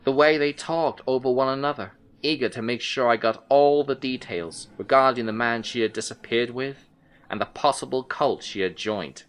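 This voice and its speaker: An actor playing an intent, unsettled male detective, recounting his case in brooding, deliberate first-person audio drama narration. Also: medium pitch, clear, resonant tone, natural voice. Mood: tense, cautious.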